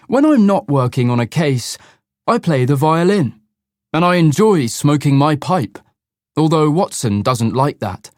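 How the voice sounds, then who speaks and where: A man reading the part of a proud, clever detective slowly and carefully for learners. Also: fairly high pitch. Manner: slow, measured, self-assured.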